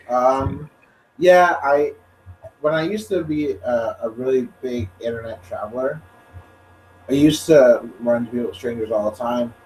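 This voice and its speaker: Brief: young man, easy mid-pitch voice, jokey rambler, miked from a distance with faint background noise. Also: takes it slowly, long pauses, darkish tone. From Ontario, Canada. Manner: rambling, jokey, leans heavily on "like". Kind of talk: casual podcast chat.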